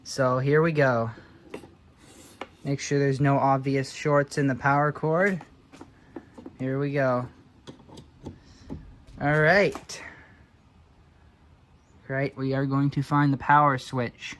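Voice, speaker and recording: Slow-talking man, casual show-and-tell. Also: mid-range voice, fairly even intonation, a bit bright, a little hiss or hum. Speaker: hobbyist presenter showing vintage electronics.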